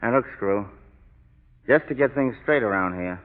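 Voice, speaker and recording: An actor in a 1940s radio drama playing a cocky, boastful convict who talks tough slang, on a lo-fi old broadcast. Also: male voice, medium pitch, lively intonation, moderate pace, big-room sound, lightly acted. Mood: swaggering. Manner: swaggering, laughing, tough-guy slang.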